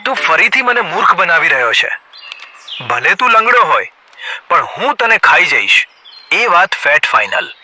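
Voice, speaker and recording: Cartoon lion king, male actor: hungry, threatening, blustery, very animated delivery, music underneath. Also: high voice, normal speed, heavily acted. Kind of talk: animated children's story voice acting.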